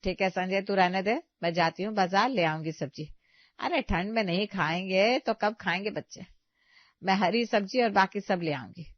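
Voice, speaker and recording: A woman's voice: mid-range voice, normal speed, no echo, dull audio, lightly acted. A woman playing a frugal older mother-in-law, chiding and matter-of-fact, in a comedy story. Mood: practical. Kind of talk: animated comedy story dialogue.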